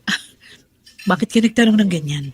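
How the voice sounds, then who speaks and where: A woman's voice: medium pitch, animated, brisk, breathy edge. A woman playing a modest middle-aged mother and friend in a Filipino radio drama, hesitant and questioning. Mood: puzzled.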